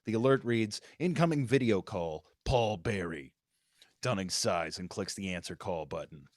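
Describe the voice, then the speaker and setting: A man's voice: on the low side, animated, normal speed, no echo, studio quality. A man, the writer, reading out screenplay stage directions as narrator at a live table read.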